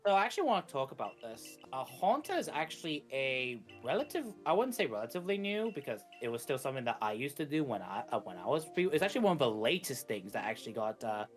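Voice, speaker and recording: A man's voice: medium pitch, big pitch swings, fast, few pauses. Man co-commentating a game over music. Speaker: speedrun co-commentator. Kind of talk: casual gaming commentary. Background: video game music.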